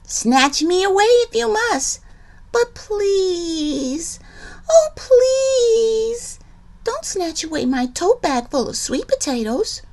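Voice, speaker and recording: A woman voicing a small country girl in a storybook, pitched very high and crying out in pleas. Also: moderate pace, hardly any pauses. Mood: pleading. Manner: very high, shrill, pleading cries. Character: frightened little country girl pleading.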